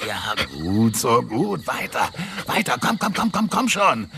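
A burly old gatekeeper from a radio play, goading and boisterous. The gravelly voice leaps around in pitch, with drawn-out taunts over a noisy scene. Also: male voice, big pitch swings. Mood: goading, amused. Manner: goading, laughing, exclamatory sparring calls. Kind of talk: German radio play acting.

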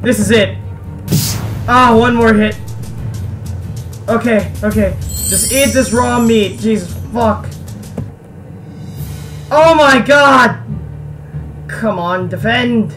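A man's voice: very high voice, takes it slowly, pauses often. A young man giving casual, sweary, exasperated let's play commentary as a gaming video host. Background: game music.

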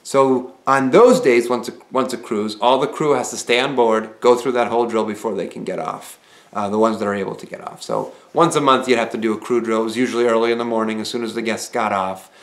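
A man in his thirties chats straight to camera from a small room at home, explaining things in an easygoing way and leaning on "uh" and "um". His voice sits at an ordinary middle pitch. The camera mic catches a good deal of room echo around him. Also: brisk. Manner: conversational, explanatory, frequent "uh" and "um" fillers. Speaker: vlogger and former cruise ship entertainer. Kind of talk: casual advice vlog to camera.

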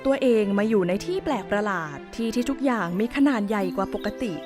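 A woman's voice: mid-range voice, quick pace, music in the background. A woman narrating a scripted children's fairy tale as a storyteller.

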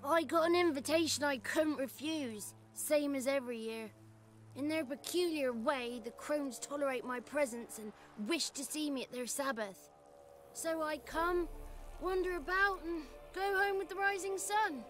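Casting: a childlike male forest sprite, very high voice, unhurried, cheeky, for a fantasy game. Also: a bit bright, soft background music. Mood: breezy, chatty. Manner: chatty, rustic old-fashioned turns of phrase. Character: Johnny, small chatty male godling, childlike, cheeky. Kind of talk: video game character acting.

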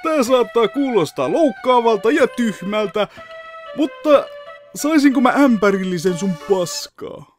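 A man's voice: extremely high pitch, animated, faint music behind. A man playing a cocky, shameless teenage boy swordsman in an anime parody dub, casual and smug. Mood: earnest.

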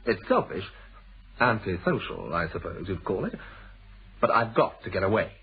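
A man's voice: low voice, big pitch swings, normal speed, creaky, full character voice. An actor playing an uneasy, evasive, educated motorist with a British accent in a radio thriller, clipped and defensive in his speech. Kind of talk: British radio drama acting. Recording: muffled band-limited old radio broadcast.

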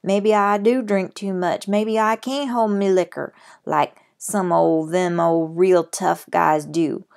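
Woman doing a shouting tiny Texas cowboy. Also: lowish voice, steady tone, takes it slowly, creaky. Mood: self-pitying. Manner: shouting, drawling, folksy grammar. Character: tiny drunken cowboy man, furious and self-pitying.

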